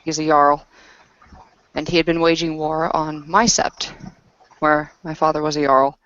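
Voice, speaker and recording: A woman playing a battle-worn werewolf woman who speaks in a terse, grave, halting storytelling manner in a tabletop roleplay. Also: low voice, little pitch movement, a bit bright, dry recording, lo-fi sound. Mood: grim, halting.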